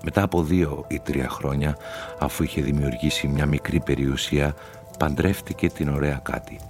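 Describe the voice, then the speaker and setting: A man narrating a literary radio play in a measured storytelling manner. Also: a bass voice, steady tone, over music. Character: male storyteller of a gothic theatre tale.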